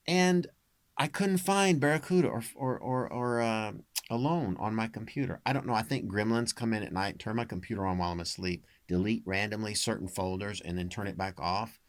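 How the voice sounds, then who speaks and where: A man's voice: low-pitched, no room sound. A man talking casually through an explanation for a vlog, rambling and digressive with dry asides. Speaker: amateur singer and home-recording video creator.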